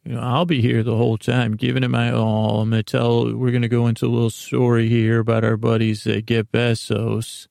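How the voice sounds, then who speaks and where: Soothing, creaky-voiced man rambling at bedtime. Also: mid-range voice, monotone, a little mellow, dry recording, studio quality. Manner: meandering, halting, word-fumbling; soothing, lulling delivery. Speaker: podcast host. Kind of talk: rambling bedtime podcast monologue.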